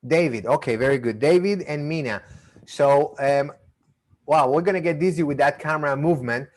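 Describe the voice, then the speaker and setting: A man's voice: a little high, normal speed. A playful man with an accent from Argentina and Israel hosts an online mentalism act over a video call. He chats with his volunteers, stumbling over restarts as he goes. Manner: chatty, playful, stammering restarts. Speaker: magician and mentalist. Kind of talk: live online magic show patter.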